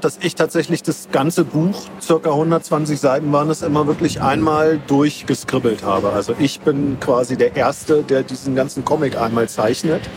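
A man who works as a comic artist and author, chatting casually in an interview and explaining step by step in everyday words. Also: fairly high pitch. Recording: clearly noisy, ambient background noise.

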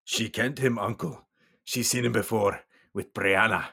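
A man's voice: fairly low pitch, steady tone, unhurried, creaky, bright, forceful tone, breathy edge, heavily acted. A man voicing an earnest young man who sounds weathered beyond his years, in a script reading. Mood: urgent, earnest. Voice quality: weathered, worn.

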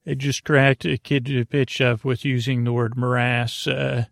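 A man hosting a bedtime podcast in a creaky voice, gently meandering, halting and repeating words as he soothes the listener. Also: mid-range voice, level tone, no room sound, clean studio sound. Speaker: podcast host. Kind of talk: rambling, improvised bedtime podcast monologue.

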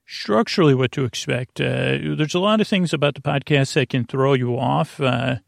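A man hosting a bedtime podcast in a creaky, lulling voice, meandering and halting through tangents and self-interruptions. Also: mid-range voice, no echo, studio quality. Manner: soothing, lulling. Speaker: podcast host. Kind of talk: rambling bedtime monologue to help listeners sleep.

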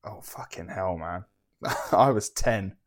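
A man's voice: sits low, takes it slowly. A man co-hosting a podcast, chatting casually and laughing often at himself. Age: 20s. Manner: laughs often, self-deprecating. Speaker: podcast co-host. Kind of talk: casual chat.

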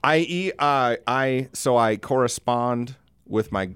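A man's voice: mid-range voice, slow pace, fairly bright tone, clear, resonant tone, no room sound. A middle-aged man hosting a podcast, chatting casually and comedically with a teasing, profane streak. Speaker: podcast host.

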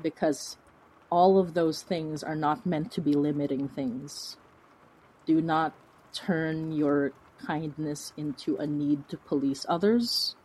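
A woman's voice: low-pitched, steady tone, takes it slowly, a little mellow, husky, rough recording. A woman speaking as a podcast guest, answering in an earnest, reflective, conversational way. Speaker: guest, fiction podcast creator.

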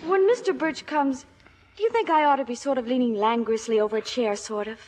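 An actress playing an excitable, lovestruck and dramatic teenage daughter in a radio comedy. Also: female voice, high voice, fast, close to an everyday voice. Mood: excited, dreamy. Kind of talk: 1950s radio comedy acting. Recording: echoey stage, noisy old radio recording.

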